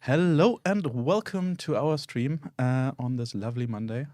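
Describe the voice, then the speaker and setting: A man hosting a game stream, talking in a relaxed, hesitant way with many ums and uhs. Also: mid-range voice, normal speed, slight background noise. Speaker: stream host. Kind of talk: casual game-stream chat.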